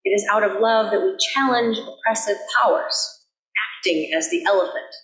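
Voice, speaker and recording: The echo of a large indoor sanctuary surrounds a woman's mid-pitched voice. She reads a sermon as a minister would, earnestly and deliberately. Her phrases are measured, set apart by pauses. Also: fairly bright tone. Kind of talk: scripted sermon reading.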